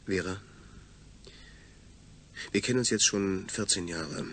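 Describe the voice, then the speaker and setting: Fragender Astronaut mit heller, tiefer Stimme. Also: male voice, low-pitched, slow, a bit bright, full character voice. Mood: doubtful. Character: astronaut Ingolf Stein, uneasy brain-surgery volunteer, inquisitive. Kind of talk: German radio play acting.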